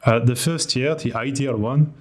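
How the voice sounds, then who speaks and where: A man's voice: mid-range voice, normal speed. A man from France with a French accent, a guest on a podcast, talking candidly with a self-deprecating humor. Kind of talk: casual podcast interview.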